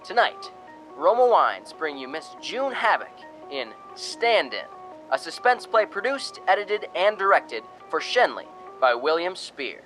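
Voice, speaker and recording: A man's voice: on the high side, very animated, a little slow, fairly bright tone, soft background music, rough recording. A man announcing a radio suspense show and its sponsor in an emphatic, old-time broadcast style.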